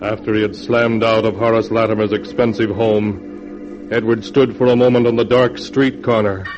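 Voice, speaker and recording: A man narrating a 1940s radio drama in a measured storytelling manner. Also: fairly low pitch, flat delivery. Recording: dull vintage radio recording with music.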